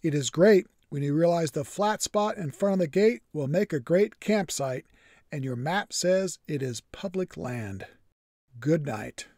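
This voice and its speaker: A man's voice: on the high side, lively intonation, measured pace, warm tone, no echo. Dry, matter-of-fact male narrator. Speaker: vlogger. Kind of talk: scripted voice-over narration.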